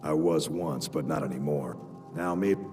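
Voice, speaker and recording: An actor playing a plain-spoken rustic Nord war veteran in a video game, with folksy grammar. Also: male voice, a bass voice, normal speed, darkish tone. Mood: gruff, matter-of-fact. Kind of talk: video game character dialogue. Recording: long hall reverb, music behind.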